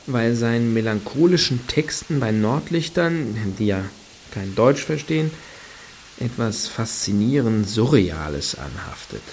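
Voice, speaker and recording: A wry, rambling narrator in a satirical radio play. His fairly low voice recounts things conversationally and hesitantly. A faint hiss sits behind it. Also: darkish tone. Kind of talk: conversational first-person monologue.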